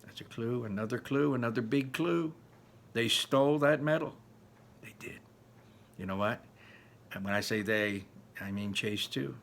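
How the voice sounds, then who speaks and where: A man's voice: mid-range voice, normal speed, creaky, long pauses, a bit bright, slight background noise. A man voicing a resentful, sarcastic middle-school bully who gripes with sneering emphasis, reading a children's novel aloud. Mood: suspicious muttering. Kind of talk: first-person children's novel read aloud.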